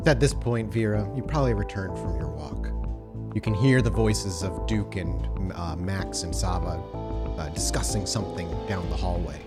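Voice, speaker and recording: A man's voice: low voice, moderate pace, loud music behind the voice. A man acting as game master, narrating a tabletop roleplaying game.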